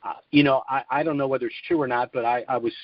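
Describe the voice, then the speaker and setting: A man speaks over a conference phone line with a dull, close and dry sound. His voice sits at an ordinary pitch. He hosts and comments in a conversational but emphatic style, arguing his points firmly. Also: steady tone, fast, dry recording. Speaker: conference call host and commentator. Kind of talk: opinionated call-in commentary. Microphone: telephone conference line.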